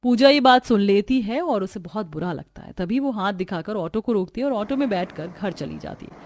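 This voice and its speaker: A woman's voice: medium pitch, expressive, moderate pace, a little mellow. A woman reading scripted narration for a family drama cartoon story. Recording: music bed behind the voice. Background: background music.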